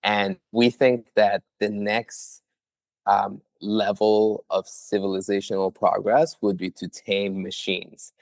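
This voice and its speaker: A young man with a low voice explains his startup in an earnest, conversational way, often leaning on small fillers. The sound is dry and close, with no room around it. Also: flat delivery, takes it slowly, creaky, no echo. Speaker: startup founder, guest. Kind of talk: conversational interview answers.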